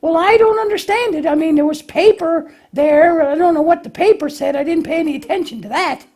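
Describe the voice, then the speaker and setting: A man's voice: very high-pitched, a little fast, darkish tone, voice pushed up. A man voicing a naive, eager, bumbling young lamb puppet in a children's show, with a hesitant, folksy, childlike way of explaining. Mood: puzzled.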